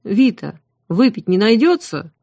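A woman reading an audiobook voices an adult male valet who talks quietly and matter-of-factly. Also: mid-range voice, sing-song, a little slow, dry recording, studio quality, pitched above the performer's own voice. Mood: plain. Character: adult male valet, sober and plain-spoken.

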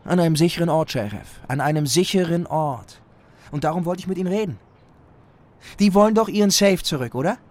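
A man's voice: a little high, expressive, a little fast, a little mellow, voice pushed up, natural voice. A man voicing a cocky, foul-mouthed young small-time thief in an audiobook, brash, sneering and slangy. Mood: sly, cocky.

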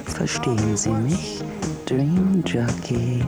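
An actress playing an eerie, insistent woman caller in a surreal radio drama, dreamy and halting, often dropping to a breathy whisper. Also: rumbling low, animated, full character voice. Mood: intense, incantatory. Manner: slow, halting, hypnotic, repeating herself. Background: music behind the voice.

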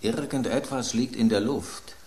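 A man's voice: medium pitch, measured pace, darkish tone, echoey room, close to an everyday voice. An actor voicing an aging male loaf of bread, an urbane, ironic epicure, in a radio play. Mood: smug.

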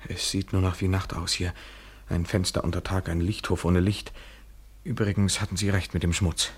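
Radio drama, 1970s German: cool, controlling male lover, low husky voice, measured literary delivery, evasive politeness. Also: level tone. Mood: awkwardly courteous. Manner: measured, deliberate, literary phrasing. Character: detached, domineering male lover, coolly courteous and evasive.

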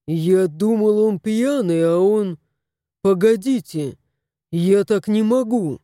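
A woman's voice: medium pitch, slow pace, warm tone, no room sound, clean studio sound, lightly acted. A woman doing a flustered young father. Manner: flustered, pleading. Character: flustered young male cat owner.

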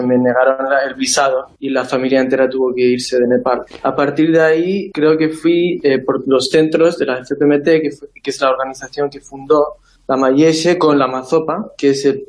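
A man's voice: mid-range voice, darkish tone, rough recording. A man from India speaking as an interview guest, telling personal recollections.

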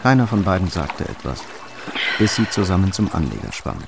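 A calm narrator of a hard-boiled crime radio play, low in pitch and measured. The storytelling is matter-of-fact, with music clearly underneath. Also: male voice, full character voice.

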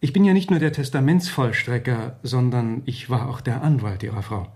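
A formal gentleman of the law played by a male actor in a radio play, mid-pitched. He is courteous and sober, setting things out in measured, matter-of-fact sentences. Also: lightly acted. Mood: grave, factual. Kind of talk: radio drama acting.

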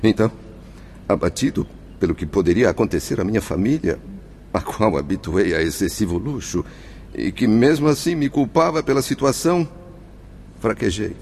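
Embittered, impatient dead merchant in radio drama; deep voice, grave confessional delivery, faint ambient noise. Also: male voice, expressive, normal speed, a little mellow. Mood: troubled confession. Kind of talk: Brazilian religious radio drama acting.

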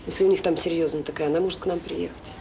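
A woman's voice: on the low side, fairly even intonation, rapid-fire, creaky, warm tone. An actress playing a restless, insistent middle-aged woman in a Soviet radio drama. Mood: agitated. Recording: noisy old radio play recording.

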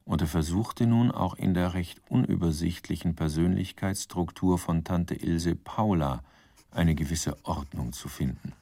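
Male narrator, mellow, dry close sound, measured, wry, literary radio storytelling. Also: sits low, no room sound. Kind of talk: scripted radio drama narration.

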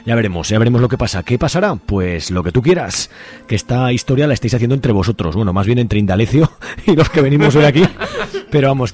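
A male radio host with a Spanish accent from Spain speaks in a mid-pitched voice. He is chatty and colloquial, joking as he presents to his listeners. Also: a little mellow. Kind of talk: casual, playful radio presenting.

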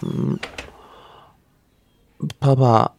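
A man's voice: drawn-out words, a little hiss or hum. A man playing a devoted, shy young idol who speaks earnestly and hesitantly in an audio drama.

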